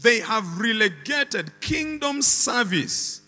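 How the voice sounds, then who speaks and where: A man's voice: high voice, very animated, slow pace, bright, forceful tone, in a large hall. A man preaching a sermon with emphatic, repetitive phrasing and call-and-response to the congregation.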